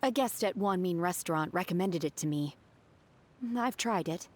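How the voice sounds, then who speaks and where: An actress voicing an aloof, earnest young woman in a video game, calm, formal and deadpan. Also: on the low side, moderate pace, slight background noise, natural voice. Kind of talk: video game dub acting. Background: faint background music.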